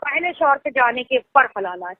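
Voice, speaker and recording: A woman's voice: high-pitched, animated, normal speed, no room sound. A young woman with a Lucknow Hindi accent, chatting casually on a phone call and explaining things at length. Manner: explains at length, laughs easily. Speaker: phone caller. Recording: tinny phone call recording.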